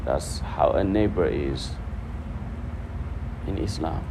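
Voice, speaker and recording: A man's voice: a bass voice, slow, vocal fry. A man preaching informally to a congregation, repeating phrases for emphasis. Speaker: preacher teaching hadith. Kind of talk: informal religious talk to a congregation, quoting Arabic. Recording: noisy room with steady background hum.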